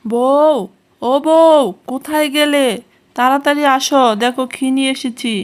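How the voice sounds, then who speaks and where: A woman's voice: high-pitched, steady tone, measured pace. A woman voicing a cunning, cocky male fox thief in a children's cartoon, boastful and breezily dismissive. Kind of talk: children's cartoon character acting.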